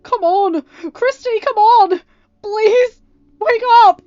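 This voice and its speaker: A woman's voice: very high-pitched, slow pace, darkish tone, no echo, highs cut off, voice pushed up. A woman voicing a grief-stricken young man who screams and sobs, pleading for his sister. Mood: anguished screaming. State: crying.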